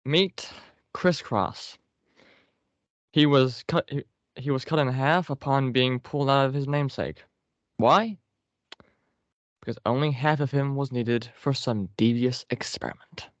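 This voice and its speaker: A man's voice: mid-range voice, measured pace, long pauses, no echo, lightly acted. A man voicing a condescending, world-weary scrap-paper General in an amateur table read. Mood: grim showman. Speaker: actor in a script table read. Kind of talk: amateur table read over a group call. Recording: dry, dull group-call audio.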